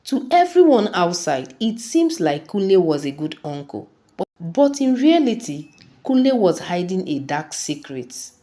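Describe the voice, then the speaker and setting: A woman narrates a scripted folktale in a slightly low voice. Her delivery is dramatic and very unhurried, with pitch that rises and falls widely from phrase to phrase. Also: sing-song, dark tone. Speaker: storyteller.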